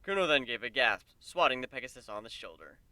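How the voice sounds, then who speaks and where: A man's voice: mid-range voice, animated, quick pace, sharp tone, dry recording. A man narrating a scripted fantasy story as a dramatic reading.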